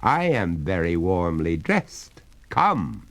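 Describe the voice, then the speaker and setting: A man voicing a polite, resolute young gentleman heir in a storybook ghost tale, theatrical and firm. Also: low-pitched, sing-song, slow pace, full character voice. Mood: firm, commanding.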